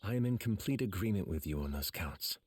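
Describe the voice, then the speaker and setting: A low male voice with a soft husk as a solemn leader of warriors in an anime game. His delivery is calm, measured and formal. The sound is dry. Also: breathy edge, dry recording.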